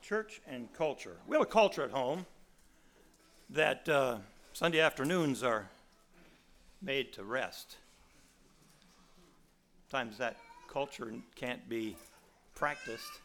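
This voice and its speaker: A man in his sixties with a raspy voice, preaching a sermon in a folksy storytelling way with many restarts. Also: mid-range voice, expressive, slow pace, pauses often, a bit bright, a little hiss or hum.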